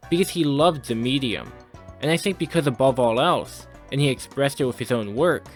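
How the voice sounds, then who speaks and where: A man narrating a scripted video essay in an opinionated, literary manner with formal wording. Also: medium pitch.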